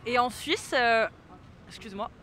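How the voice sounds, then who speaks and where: Bright-voiced woman interviewing in the street. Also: on the high side, unhurried. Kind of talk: casual vox pop interviewing. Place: outdoors on a city street. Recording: outdoor street with light traffic noise.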